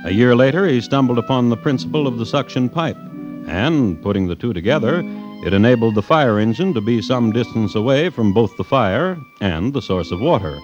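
A man narrating a scripted old-time radio drama as a storyteller, measured and wry. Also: mid-range voice, lively intonation, soft background music, close to an everyday voice. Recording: muffled old radio recording.

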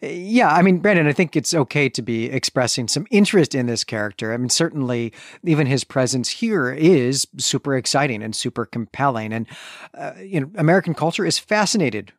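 A man's voice: mid-range voice, lively intonation, brisk, dry recording, clean studio sound. A man co-hosting a podcast, recapping and discussing a book in a casual, conversational way with hedging asides. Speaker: podcast co-host.

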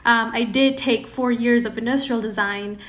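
A woman's voice: on the high side, steady tone, normal speed. A woman from Indonesia speaking as a podcast guest, explaining things conversationally and often adding "you know". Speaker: podcast guest, product designer. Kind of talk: casual interview chat.

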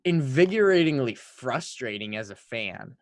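A man who is a native French speaker co-hosts a casual sports podcast. His voice sits at a middle pitch and he talks emphatically, leaning on hyperbole. The sound is close and dry. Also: animated, moderate pace, dry recording. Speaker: hockey podcast co-host.

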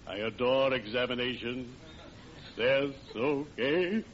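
An actor in an old-time radio sitcom playing a courtly, gleefully morbid friendly undertaker, with a formal, theatrically solemn delivery. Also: male voice, mid-range voice, takes it slowly, lightly acted. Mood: morbid relish. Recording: muffled, noisy vintage radio with audience.

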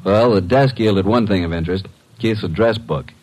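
A male actor plays a tough, breezy legman from an old radio detective show. His voice is low and manly. He talks in brisk, slangy lines with a wisecracking edge. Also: darkish tone, lightly acted, poor audio. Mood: reporting. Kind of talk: old-time radio detective drama acting.

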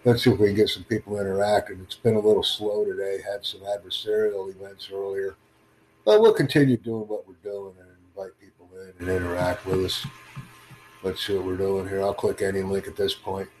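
A man with a fairly low voice hosts an online talk room in loose, upbeat patter. His English is native and unhurried. A faint hiss sits under the recording. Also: steady tone. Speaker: host. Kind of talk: casual chat.

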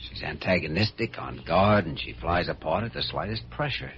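An actor playing an earnest young hospital doctor in a 1940s radio drama, measured, polite and probing. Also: male voice, sits low, animated. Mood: puzzled, businesslike. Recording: muffled, noisy vintage radio recording.

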